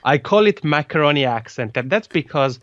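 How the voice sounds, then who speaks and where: A man's voice: on the high side, fairly quick, no room sound. Swiss italian guy talking on a podcast, keeps restarting his sentences, dull muffled sound, dry. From Italian-speaking Switzerland. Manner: lively, repeats words, restarts phrases often. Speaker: podcasting consultant guest. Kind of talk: conversational interview.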